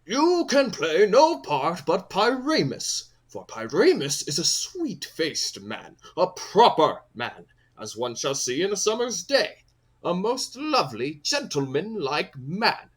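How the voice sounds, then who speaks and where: A man's voice: high voice, huge pitch swings, slow pace, breathy edge, heavily acted. A man playing a fussy, coaxing workman who directs amateur players, earnest and persuasive, in a comic Shakespearean audio play. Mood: flattering.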